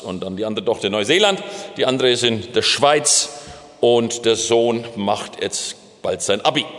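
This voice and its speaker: A middle-aged man gives a sermon-style testimony in a fairly high voice, pushing his pitch up and down to stress his points. His words ring out with the long reverb of a large church hall. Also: a bit bright. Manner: emphatic storytelling with swings in pitch and pace. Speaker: missionary preacher.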